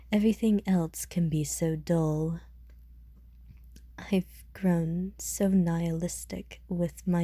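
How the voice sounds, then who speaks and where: A woman playing a calm, world-weary woman who speaks politely and measuredly, with dry teasing and care, in an audio roleplay. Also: fairly low pitch, slightly rough, dry recording. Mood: wistful, fond. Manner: measured, polite, softly jaded.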